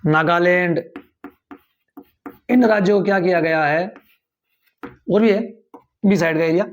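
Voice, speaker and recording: A man's voice: sits high, measured pace, a little hiss or hum. A man teaches a civics class. He goes over each point more than once and keeps checking whether his students follow. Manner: repeats key points, quizzes listeners with rhetorical questions. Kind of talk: classroom lecture for tenth grade.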